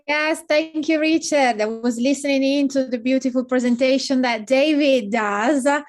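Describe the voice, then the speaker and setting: A woman's voice: high-pitched, normal speed. A woman representing a college, speaking politely and in a friendly way over a video call.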